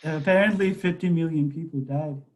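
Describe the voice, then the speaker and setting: A man's voice: a little high, a little fast, poor audio. A male student chatting on a class video call, his voice worn and going. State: losing his voice. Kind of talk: casual chat on a video call.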